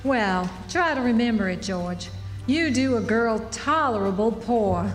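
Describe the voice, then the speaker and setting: A woman's voice: on the high side, expressive, unhurried. A woman playing a shrewd, motherly, prying frontier townswoman with a folksy American Southern accent in a live radio drama. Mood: knowing, kindly. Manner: folksy, emphatic. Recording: echoey hall, music behind.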